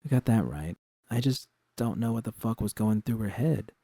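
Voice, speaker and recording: A man's voice: fairly low pitch, animated, moderate pace, mellow, no echo, clean studio sound. A man voicing a jaded, sardonic young woman, blunt and sweary, in an audio story. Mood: venting.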